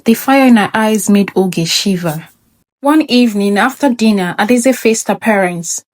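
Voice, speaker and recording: A woman reading a scripted folktale as a storyteller, measured and expressive. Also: medium pitch.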